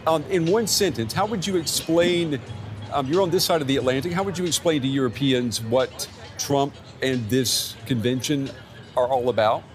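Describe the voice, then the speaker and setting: A male news anchor with a mid-pitched voice. He reads his links in a measured, scripted way. He questions guests with the odd um. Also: faint music behind. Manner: measured, scripted delivery with occasional ums in interviews. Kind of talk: broadcast news presenting.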